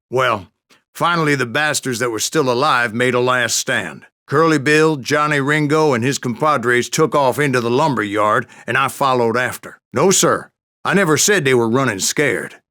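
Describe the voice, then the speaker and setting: An actor playing an old Wild West bounty hunter who tells boastful tall tales in a folksy American Western drawl for a video game. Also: male voice, medium pitch, a bit bright, no echo, studio quality, lightly acted. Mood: wry storytelling. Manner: folksy, salty, cussing storyteller. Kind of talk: theatrical storytelling narration for a western video game.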